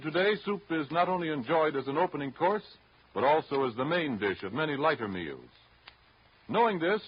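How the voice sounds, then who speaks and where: A man announcing in a formal, polished 1930s radio style, with measured scripted delivery. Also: mid-range voice. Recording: dull, hissy old 1930s radio broadcast.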